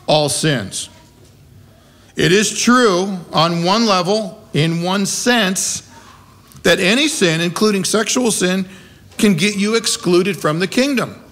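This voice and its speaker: A man's voice: high voice, a bit bright. A middle-aged or older American man preaching a sermon in a conversational but emphatic way, with rhetorical questions. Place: wooden-walled small church, at a pulpit. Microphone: gooseneck pulpit microphone.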